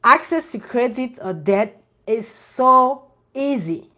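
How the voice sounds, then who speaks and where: A woman's voice: fairly high pitch, expressive, slow pace, sharp tone. A woman from Cameroon in her thirties, hosting a live finance Q&A, speaking earnestly and often repeating and restarting her phrases. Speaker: personal finance livestream host. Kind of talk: casual live Q&A answering viewer questions. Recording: dull lo-fi livestream audio.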